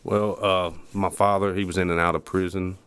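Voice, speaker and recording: A man in his early forties from the eastern United States, telling his own story as an interview guest in a halting, plain-spoken way. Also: low-pitched, level tone, a bit bright, smooth, no echo. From Baltimore area, United States. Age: early 40s. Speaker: podcast guest, peer support specialist.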